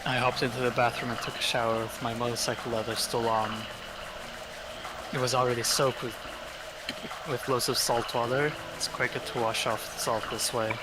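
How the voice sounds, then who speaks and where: A man's voice: mid-range voice, flat delivery, moderate pace, heavy background noise, lightly acted. A man voicing a weary, stoic teenage boy who narrates his thoughts in a game. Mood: pensive. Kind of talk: scripted visual novel narration. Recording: music playing behind the voice.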